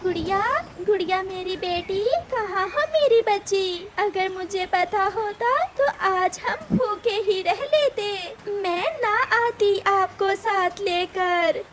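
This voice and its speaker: A tender, anxious mother bird from a children's cartoon, acted by a woman. Her voice is high and full of emotional pleading, and there is clear ambient noise behind it. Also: takes it slowly. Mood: distraught.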